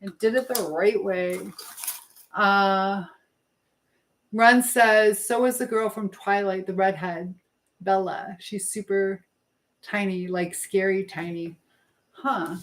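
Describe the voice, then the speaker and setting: A woman's voice: medium pitch, fairly even intonation, slow pace, pauses often. A woman hosting a casual live stream, talking in a chatty, affectionate way with viewers and reading their comments aloud. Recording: clear background noise with clicking sounds.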